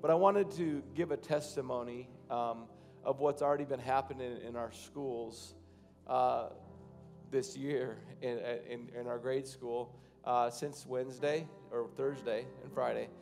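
A man in his thirties, a pastor, preaching and then praying over his congregation from a church stage, with loose, halting phrasing that rises to fervent prayer. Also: mid-range voice, steady tone, a little mellow, faint music behind. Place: large church stage, indoors.

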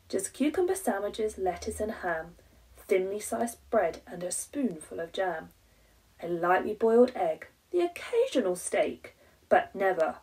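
An English woman in her thirties reads a rhyming children's story like a primary teacher. Her delivery is slow and dramatically emphasised, with a creaky edge, recorded a little way from the microphone. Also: medium pitch, darkish tone, recorded from a distance, poor audio. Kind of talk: expressive children's storybook reading.

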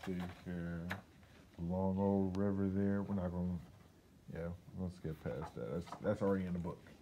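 A man's voice: sits low, steady tone, normal speed, dull audio, noisy background. A middle-aged man from Detroit with an American accent, casually reading a children's picture book aloud indoors. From Detroit, United States. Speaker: guest storybook reader, radio station employee. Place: school library, seated indoors.

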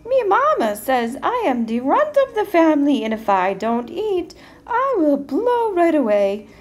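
Woman as a tiny high-pitched boy billy goat. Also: big pitch swings, a little slow. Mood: timid, pleading. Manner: small, pleading little voice. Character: little young male billy goat, timid, pleading. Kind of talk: storybook character voice.

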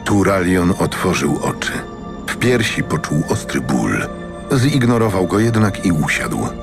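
A man's voice: low voice, very animated, unhurried, creaky. A man narrating a fantasy audiobook in a scripted, dramatic storytelling style. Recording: narration over music.

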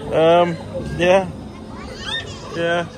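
A man's voice: a little high, completely flat delivery, extremely slow, crowd noise. A British man chatting casually as he films a walkaround vlog outdoors. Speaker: vlogger. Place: outdoor family park, walking in woods.

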